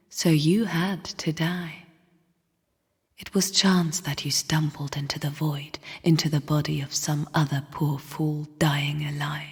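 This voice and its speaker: Casting: scornful female game spirit, low husky whisper, slow cryptic delivery, long cavernous reverb. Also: darkish tone, heavily acted. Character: bleak, bitter female spirit sister of a dying void. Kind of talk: video game character monologue. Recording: long reverberant hall echo.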